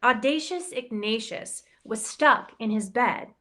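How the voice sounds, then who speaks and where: Slow, playful woman reading a rhyming storybook. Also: a little high. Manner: playful, expressive rhyming delivery with voiced quotes. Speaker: campus ministry director reading a picture book. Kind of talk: storybook read-aloud in rhyme.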